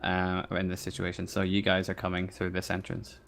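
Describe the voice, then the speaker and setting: A man narrating a tabletop game as game master in a casual, halting, improvised way. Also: low voice, monotone, vocal fry, a bit bright.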